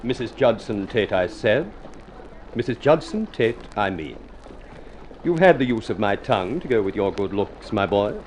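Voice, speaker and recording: Low-voiced, silver-tongued radio drama gentleman. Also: sing-song, slight background noise. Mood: determined. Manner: grand, deliberate, theatrical delivery. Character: ugly, masterful, silver-tongued Englishman, suave and proud. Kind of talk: 1950s radio drama acting and narration. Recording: old radio recording with music.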